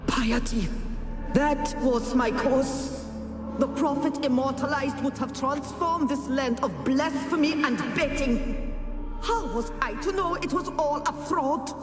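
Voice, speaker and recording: A woman's voice: sits high, slow, music in the background. An actress playing a pious, sanctimonious dead noblewoman in a video game, haughty, formal and theatrical. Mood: indignant, scornful. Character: pious, sanctimonious nagging noblewoman ghost, long-suffering wife. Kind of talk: video game dialogue acting. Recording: long hall reverb.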